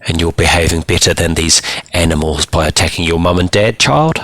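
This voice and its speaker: Male reader as middle-aged female probation officer, motherly, patronising, coaxing, bright edge, dry close sound. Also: low voice, fairly quick, dry recording. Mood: scolding, lecturing. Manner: calls the listener child, coaxing and lecturing. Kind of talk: dramatised short story reading.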